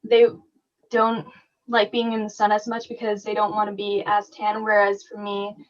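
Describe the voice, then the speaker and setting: A woman's voice: mid-range voice, completely flat delivery, normal speed. A teenage girl co-hosting a podcast, chatting casually and laughing often. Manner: chatty, laughs often, lots of "like" and "you know". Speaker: podcast co-host. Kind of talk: casual chat. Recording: slightly dull lo-fi audio.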